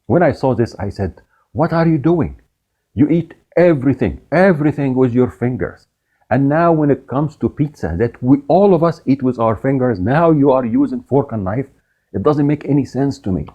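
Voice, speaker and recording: A middle-aged man from Egypt hosting a show, telling a personal story in an animated way with laughs along the way. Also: medium pitch, moderate pace, lo-fi sound. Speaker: podcast host. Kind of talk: storytelling monologue.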